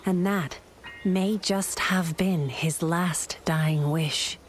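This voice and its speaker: An actress playing a solemn, thoughtful female companion in a video game, speaking in a measured, earnest way over music. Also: on the low side, fairly even intonation, a little hiss or hum. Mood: somber, reflective. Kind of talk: dramatic video game voice acting. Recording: music behind the voice.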